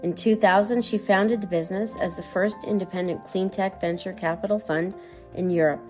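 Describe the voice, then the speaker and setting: A woman's voice: mid-range voice, fairly even intonation, normal speed, darkish tone. A woman hosting a podcast, reading a polished scripted introduction of her guest. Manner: polished, read-out delivery. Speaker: podcast host. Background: guitar music.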